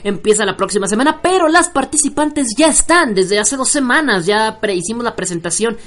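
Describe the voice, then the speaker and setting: A man hosting an online radio show, chatting casually and joking, with many fillers and restarts as he reads out listener shout-outs. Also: sits high, animated, fairly quick.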